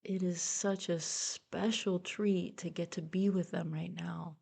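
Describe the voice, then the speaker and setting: A woman leading a guided meditation in a soothing, gentle, unhurried and encouraging way. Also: on the low side, steady tone, creaky, breathy edge. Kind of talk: guided meditation, soft instructional narration. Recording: soft music behind the voice. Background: meditation music.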